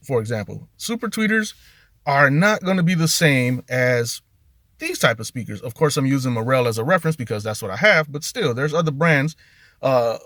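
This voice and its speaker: Middle-aged man, slightly high pitch, casual vlog rant, conversational, emphatic on key words, dry sound. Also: fairly bright tone, no room sound. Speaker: car audio video host.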